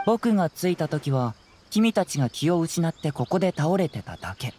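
A man voicing a calm, perceptive young gentleman investigator in a game, soft-spoken and politely probing. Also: fairly high pitch, moderate pace, a little hiss or hum. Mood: calm, explanatory. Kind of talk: Japanese game cutscene voice acting.

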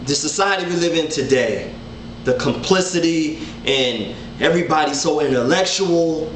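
A man in his thirties with a rather high voice teaches scripture in an unhurried, earnest way. He gestures as he speaks and circles back over his words. The webcam sound is roomy, with a faint hum of ambience behind him. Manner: earnest, animated hand gestures, repeats words. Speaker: Bible study teacher. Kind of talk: casual online Bible teaching. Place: living room at home, indoors. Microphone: webcam at desk distance. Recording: roomy home webcam audio.

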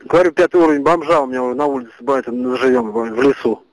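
A man's voice: medium pitch, racing, no echo. A man, a soldier, talking wearily and with lots of swearing in a casual intercepted phone call. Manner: weary, profane.

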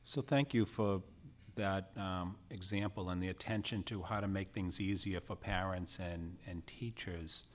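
A middle-aged man with a Boston accent, asking a candidate questions at a committee meeting in a friendly, measured way. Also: low-pitched. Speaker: school committee member. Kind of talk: panel interview questions. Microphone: table microphone.

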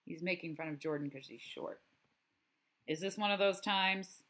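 A woman's voice: on the low side, normal speed, fairly bright tone. A woman reading a storybook aloud expressively, adding explanations as she goes. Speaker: read-aloud narrator. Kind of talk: storybook read-aloud with commentary.

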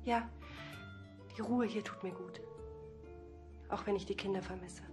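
A woman plays a fragile young wife in a TV drama. She speaks hesitantly and earnestly at a medium pitch, with music clearly behind her. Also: fairly even intonation, unhurried, close to an everyday voice. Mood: subdued, wistful. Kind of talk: TV drama acting.

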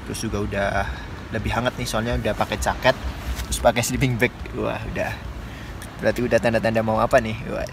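A man's voice: on the low side, little pitch movement, quick pace. Young man vlogging over noisy ambience. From Indonesia. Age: 20s. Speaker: solo hiking vlogger. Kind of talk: casual vlog chat to camera. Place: inside a small tent at a mountain campsite. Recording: clear background noise, outdoor ambience.

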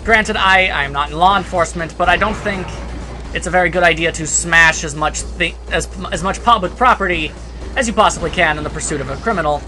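A man's voice: high-pitched, brisk, bright, forceful tone. A man doing casual, jokey let's play commentary in a sarcastic, mock-grandiose manner. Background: game music.

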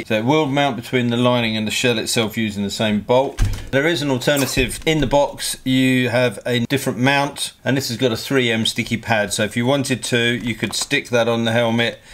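A man's voice: mid-range voice, fairly even intonation, normal speed, a bit bright, a little hiss or hum. A man giving a hands-on product review in a practical, matter-of-fact, explanatory way. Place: indoor motorcycle workshop bench.

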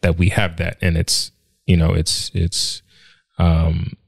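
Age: 30s. Sex: man. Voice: very deep, dry and close. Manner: rambling, thoughtful, lots of fillers. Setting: home podcast on a stand mic. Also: level tone, slow, creaky, no room sound. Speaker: podcast co-host. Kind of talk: casual conversational podcast chat. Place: living room at home, indoors. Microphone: studio mic on a stand with headphones.

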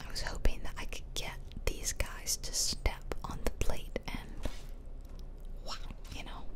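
A woman whispering playful, rambling ASMR commentary over a video game, close to the microphone. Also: a little slow. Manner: playful, self-deprecating, rambling asides. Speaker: game streamer, ASMR creator. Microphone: close ear-to-ear ASMR microphone.

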